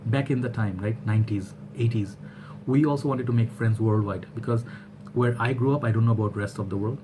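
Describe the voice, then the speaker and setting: A man's voice: on the low side, brisk, noisy background. A man in his thirties from Bangladesh, speaking in a casual, rambling opinion monologue to a webcam in a small room at home, full of fillers and restarts. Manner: animated, gesturing hands, rambling with fillers and restarts. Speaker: vlogger.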